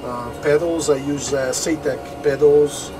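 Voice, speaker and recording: A man's voice: fairly high pitch, steady tone, a little slow, background noise. A man casually touring the flight simulator cockpit he built at home, proud and chatty, full of fillers and restarts. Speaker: home simulator builder giving a tour. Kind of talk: casual off-the-cuff tour.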